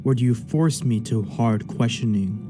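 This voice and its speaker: A man's voice: mid-range voice, moderate pace, music in the background, close to an everyday voice. A man acting the part of a stern, imperious judge in a radio play, speaking in a formal, commanding way. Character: stern, imperious male judge presiding over an inquiry.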